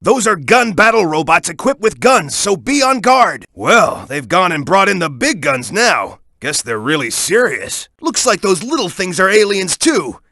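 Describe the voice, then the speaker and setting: A man's voice: sits high, sing-song, measured pace, hardly any pauses, sharp tone, no echo, studio quality, exaggerated voice. A man voicing a cocky, tough young echidna hero in a video game, giving hints in a confident, punchy, instructive manner. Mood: cocky, wary. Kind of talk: video game voice acting, tutorial hints and banter.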